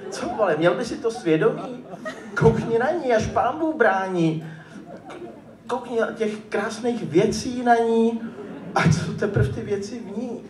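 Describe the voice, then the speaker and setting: A man's voice: very high-pitched, expressive, a little mellow. A man performing slam poetry live in a theatrical, colloquial style with dramatic swings. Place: live poetry slam event before an audience. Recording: roomy, noisy, distant live stage recording.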